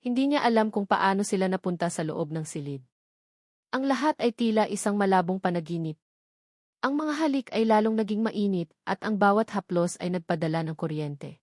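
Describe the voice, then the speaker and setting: A woman's voice: medium pitch, animated, fairly quick, darkish tone, no room sound, studio quality. A woman with a Filipino accent reads a scripted romance story as its narrator, in a dramatic storytelling manner.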